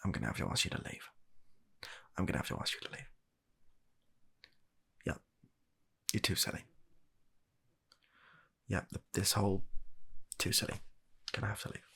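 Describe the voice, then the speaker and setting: British male, husky and low, for comic ASMR doctor roleplay; hesitant, deadpan, fed up. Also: normal speed, pauses often. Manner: exasperated, hesitant, awkwardly deadpan. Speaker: comedy ASMR performer playing a doctor. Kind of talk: comedic medical roleplay ASMR.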